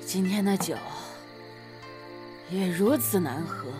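A woman playing a moody young gentleman official in a Chinese period radio drama, grumbling and imperious. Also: on the low side, slow, over music. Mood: gloomy, grumbling.

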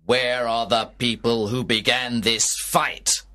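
A man's voice: medium pitch, little pitch movement, takes it slowly, pushed and bright. A man voicing a stern ruling prince, grave and authoritative, in an audiobook tragedy. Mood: angry. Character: stern, angry ruling prince passing judgement. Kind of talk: dramatised audiobook of a classic tragedy.

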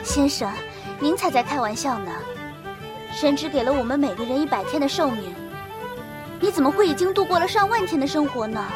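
A woman plays a carefree young village girl in an audio drama, with a high, lively voice. She is innocent, playful and full of questions, with music clearly behind her. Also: fairly quick. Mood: amused. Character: carefree, curious young village girl living only a hundred days. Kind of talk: radio drama acting. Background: background music.

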